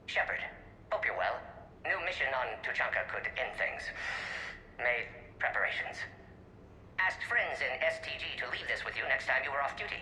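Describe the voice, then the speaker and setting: A brisk male alien scientist from a video game, with a very sharp, forceful tone and lively swings in pitch. He drops small words and talks like an eccentric lecturer. The recording is echoey. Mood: brisk, matter-of-fact. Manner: clipped telegraphic sentences, didactic. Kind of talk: video game character performance. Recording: echoey recorded message with faint noise.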